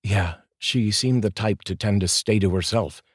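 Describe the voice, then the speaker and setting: Man doing a polite father, low, husky, mild manner. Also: normal speed, dry recording, studio quality. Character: father moving into a new house.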